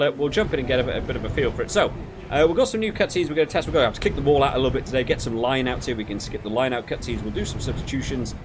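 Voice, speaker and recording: A man's voice: mid-range voice, slight background noise. A man hosting a video game review with casual, rambling, conversational commentary.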